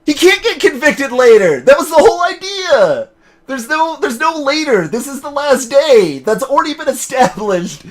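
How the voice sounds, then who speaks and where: Animated gaming host with lively pitch. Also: normal speed. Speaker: let's play host. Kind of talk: voiced game playthrough with casual asides.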